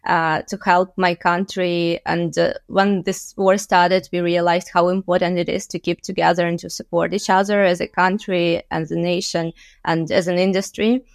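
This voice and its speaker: A woman from Ukraine, giving steady, measured answers as an interview guest. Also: fairly low pitch, dry recording, clean studio sound. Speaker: guest, game company co-founder. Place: home in London.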